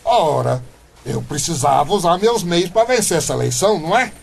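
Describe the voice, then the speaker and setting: A man's voice: a little high, animated, normal speed, vocal fry, a little hiss or hum. A man playing a stubborn, scheming small-town candidate in a comic radio drama, with a Brazilian accent and exaggerated bluster. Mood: smug, scheming.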